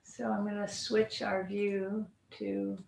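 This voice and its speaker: A woman's voice: mid-range voice, level tone, takes it slowly, vocal fry, darkish tone. A woman moderating a livestreamed discussion in a casual, conversational style. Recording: echoey livestream with faint background noise.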